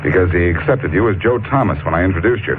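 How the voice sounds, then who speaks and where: An actor playing a shrewd, composed, wisecracking detective, brisk and dry in a 1940s radio drama. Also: male voice, low-pitched, expressive. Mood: cool, confronting. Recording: tinny, noisy 1940s radio recording.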